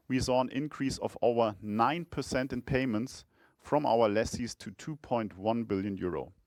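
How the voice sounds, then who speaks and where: Male CFO, mid-range voice, formal measured scripted delivery for an earnings call, dry recording. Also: mid-range voice, dry recording. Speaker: chief financial officer presenting results.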